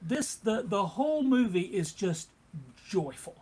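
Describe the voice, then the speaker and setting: A woman's voice: medium pitch, very animated, unhurried, warm tone, breathy edge. A woman chatting casually as a panelist, emphatic and enthusiastic. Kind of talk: casual chat.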